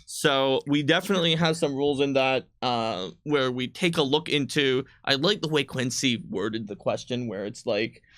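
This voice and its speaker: A man's voice: medium pitch, normal speed, hardly any pauses, a bit bright. Rambling man in casual chat. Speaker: game designer on a livestream. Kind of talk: casual chat about game books.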